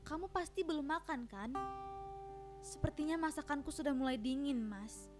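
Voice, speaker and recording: A woman acting a patient, high-voiced wife. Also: moderate pace, music in the background, lightly acted. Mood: gentle, subdued. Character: patient, soft-spoken dutiful wife with quiet firmness. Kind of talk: dramatic reading of a stage play.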